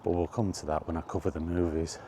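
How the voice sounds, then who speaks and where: A middle-aged man chatting casually and reminiscing in a halting, thinking-aloud way, in short rambling phrases. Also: sits low, flat delivery, warm tone, a little hiss or hum. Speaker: video creator and host. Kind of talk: casual reminiscing monologue about retro games. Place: outdoors while walking.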